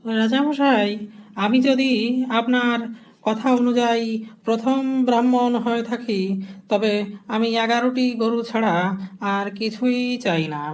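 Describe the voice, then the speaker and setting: An actor playing a poor, stubborn, righteous Brahmin man in a cartoon, speaking in halting, declamatory phrases. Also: very high voice, fairly even intonation. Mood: respectful. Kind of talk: cartoon dialogue.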